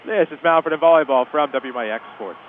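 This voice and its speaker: Fast, phone-line sportscaster man. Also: fairly high pitch. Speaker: play-by-play sports announcer. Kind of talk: live local radio pregame commentary. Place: indoor school sports arena. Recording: phone-like line, steady venue noise.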